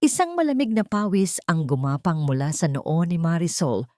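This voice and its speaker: A woman's voice: low voice, big pitch swings, normal speed, dark tone, no room sound, clean studio sound. A woman narrating a scripted story in a dramatic, suspenseful manner.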